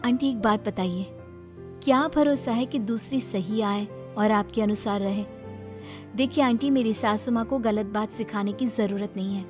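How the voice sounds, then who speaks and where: A woman's voice: medium pitch, moderate pace, natural voice. A woman voicing an earnest, long-suffering young daughter-in-law with a plaintive manner in a cartoon story. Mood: firm. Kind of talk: cartoon moral story dialogue. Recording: music under the voice.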